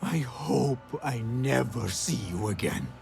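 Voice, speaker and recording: A man's voice: medium pitch, expressive, takes it slowly, vocal fry, a little mellow, faint music behind, heavily acted. A male actor playing an impulsive, earnest young thief in a dramatic video game scene. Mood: furious, anguished. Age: young adult.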